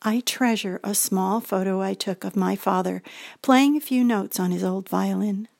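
An older American woman reading a scripted personal story, gentle and reflective, as a feature presenter. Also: medium pitch, normal speed, gravelly, no room sound, clean studio sound. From United States.

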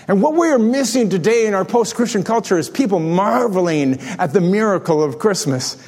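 Man in his sixties preaching, high voice. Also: expressive, fairly quick, darkish tone. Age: 60s. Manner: storytelling, self-deprecating humor. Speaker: pastor. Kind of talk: sermon with scripture reading and jokes. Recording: echoey hall with long reverb.

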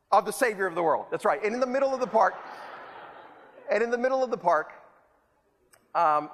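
Male preacher, high voice, rhetorical and self-mocking, echoing hall. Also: a bit bright. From El Salvador. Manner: rhetorical, repetitive, self-deprecating humor. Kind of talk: sermon. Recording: long hall reverb.

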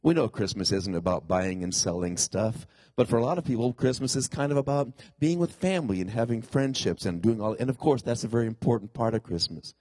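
Man with a dull, husky voice. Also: mid-range voice, brisk, few pauses, mellow, no echo. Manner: conversational, asks the congregation questions. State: congested from a cold-like ailment after a tooth extraction. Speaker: pastor. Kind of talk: sermon.

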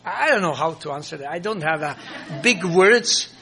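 An older man from Croatia who speaks English as a second language, with a Croatian accent. He is a missionary pastor answering audience questions in an earnest, halting way, full of fillers. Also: a little high, animated, pushed and bright.

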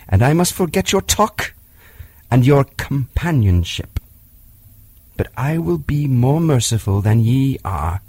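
A man's voice: mid-range voice, darkish tone, husky, dry recording. A man voicing a fierce, defiant boy raised by wolves, speaking in proud, commanding archaic phrases.

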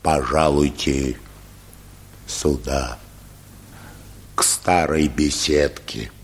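Solemn butler in a radio drama, super low voice, slow and halting, anxious. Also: male voice, fairly even intonation, husky, exaggerated voice. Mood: grave, subdued. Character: grave, anxious manservant bringing news of death. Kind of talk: radio play acting.

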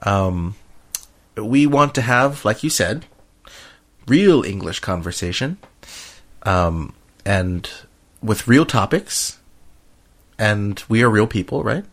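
A middle-aged American man hosting a casual conversational podcast, talking in a hesitant, halting way. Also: on the low side, animated, a bit bright. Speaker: podcast host.

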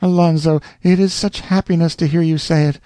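A lovestruck young woman pours out her devotion in a raised, dreamy voice, as a man puts her on. The tone stays dark and close, with a dry, unreverberant sound. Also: low-pitched, steady tone, a little fast, no room sound, voice pushed up, natural voice. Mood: rapturous. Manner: gushing, breathless devotion. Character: adoring young woman in love.

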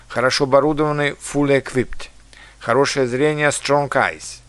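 Male language teacher, lecture delivery, measured, mid-pitched voice, dry close recording. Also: dry recording. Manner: measured, reads foreign phrases slowly and carefully.

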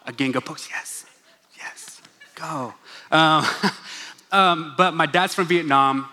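A young man preaches a casual sermon, full of jokes and asides to the crowd. His voice sits fairly high and sounds bright and forward. A long hall reverb trails after every line. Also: slow. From Oklahoma, USA. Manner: chatty, joking, asides to the crowd. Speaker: pastor. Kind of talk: casual sermon in a church gathering. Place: large church hall.

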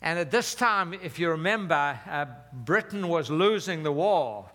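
A man's voice: fairly high pitch, lively intonation, a little slow, a bit bright. A man in his seventies, born in South Africa, preaching a conversational sermon with fillers and some slips. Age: 70s. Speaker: pastor.

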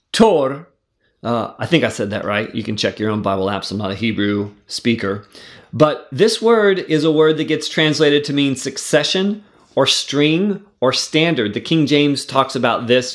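A man giving a devotional Bible teaching, earnest and conversational, with fillers and restarts, slowing down when he reads verses aloud. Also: mid-range voice. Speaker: preacher teaching scripture.

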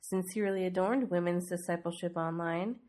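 A woman's voice: fairly low pitch, steady tone, a little fast, darkish tone. Stuffy-nosed woman hosting a devotional podcast. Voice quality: snuffly, stuffy nose. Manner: earnest, conversational teaching with rhetorical questions. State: congested from a cold. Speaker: podcast host.

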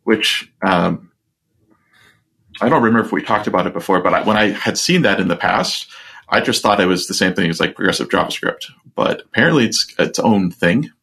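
A man's voice: deep voice, animated, a little fast, vocal fry, bright, forceful tone, breathy edge. A man co-hosting a podcast, chatting casually and rambling with many fillers and restarts. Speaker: podcast co-host. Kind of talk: casual tech chat.

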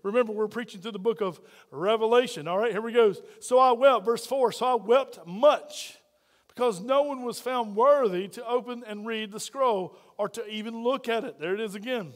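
Loud folksy preacher, high voice, hall echo. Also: male voice, normal speed. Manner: loud, folksy preaching with playful asides to the congregation. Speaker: pastor. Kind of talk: sermon.

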